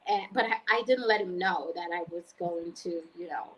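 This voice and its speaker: A woman's voice: on the low side, normal speed, dull audio. Young Jamaican woman telling her story. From Montego Bay, Jamaica. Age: 20s. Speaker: guest, online freelancer. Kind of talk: personal story told conversationally.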